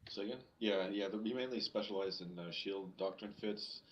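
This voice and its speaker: A man's voice: sits low, moderate pace, over a noisy crowd. A man in his late thirties chatting casually over voice chat, hesitant and trailing off, with some casual swearing. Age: 30s. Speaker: gamer in voice chat. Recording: muffled voice chat with faint hiss.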